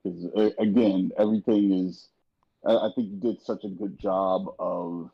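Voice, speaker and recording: A man in a casual panel chat, talking haltingly with repeated and restarted phrases, over a slightly dull lo-fi connection. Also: low voice, steady tone, gravelly, breathy edge. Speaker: guest on an audio drama after-show.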